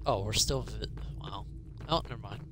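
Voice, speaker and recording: A man's voice: on the low side, normal speed, noisy background. A man bantering casually and teasingly as he plays a roleplay game, with a sarcastic edge. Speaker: gamer in a roleplay series. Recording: game music behind the voice. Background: game music.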